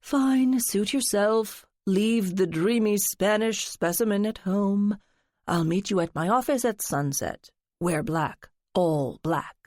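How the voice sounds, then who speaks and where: A woman's voice: medium pitch, takes it slowly, darkish tone, no room sound, studio quality, close to an everyday voice. A woman narrator voicing a flamboyant, dramatic man who drawls "darling" and talks with theatrical flourishes. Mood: flirty, playful. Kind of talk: audiobook character voice.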